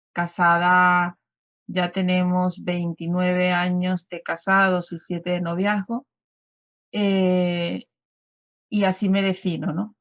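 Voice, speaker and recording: A woman's voice: on the low side, fairly bright tone, no room sound, dull audio. A woman in her fifties with a Venezuelan accent, a guest talking in a conversational radio interview with many fillers and restarts.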